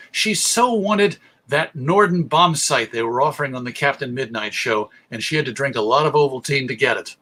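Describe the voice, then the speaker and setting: A middle-aged American man presents a history talk in a bright, forceful voice pitched a little high. He is articulate and measured, leaning on key words like a storyteller. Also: breathy edge. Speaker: presenter, radio show host. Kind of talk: illustrated history lecture.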